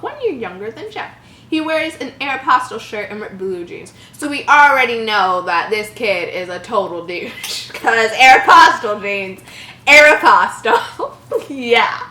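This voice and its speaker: A woman in her early twenties reading a story comedically, animated, with casual mocking commentary. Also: fairly high pitch. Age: early 20s. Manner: giggly, mocking asides, animated. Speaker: channel host. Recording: roomy echo, faint background noise.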